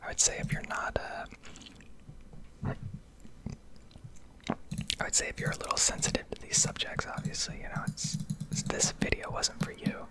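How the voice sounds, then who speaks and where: A young American woman speaks in a breathy whisper with no voice in it. She rambles bluntly and swears freely, in the style of an ASMR rant recorded over gameplay. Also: unhurried, long pauses. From Connecticut, United States. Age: young adult. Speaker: ASMR streamer.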